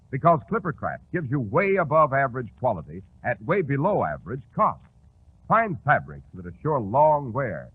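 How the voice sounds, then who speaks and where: An American man delivering an emphatic, polished scripted sales pitch as a 1940s radio announcer. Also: slightly rough. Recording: tinny 1940s radio recording.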